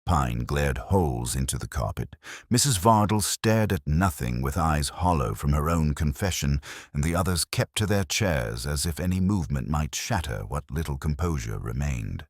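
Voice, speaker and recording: A man narrating a detective story in the first person, with a gravelly voice and dry, hard-boiled delivery. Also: rumbling low, expressive, moderate pace, dry recording. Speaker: audiobook narrator.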